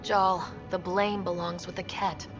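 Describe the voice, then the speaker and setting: A woman's voice: lowish voice, level tone, a little slow. An actress playing a resolute young female space team leader in a video game, firm and matter-of-fact. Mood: reassuring. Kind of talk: video game dramatic acting. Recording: dull game audio under music.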